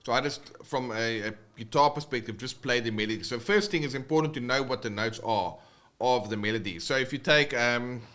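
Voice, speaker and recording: Casual South African male guitar teacher, bright tone, loose and rambling on a livestream. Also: mid-range voice, brisk, a little hiss or hum. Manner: loose and rambling, many fillers and restarts.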